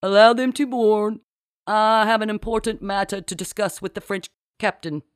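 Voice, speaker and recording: Storybook impostor captain, played by a woman: loud, awkward, halting, forced gruff authority, dry sound. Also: mid-range voice, fairly even intonation, dry recording, pitched above the performer's own voice, lightly acted. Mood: flustered. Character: flustered woman clumsily impersonating a male British sea captain.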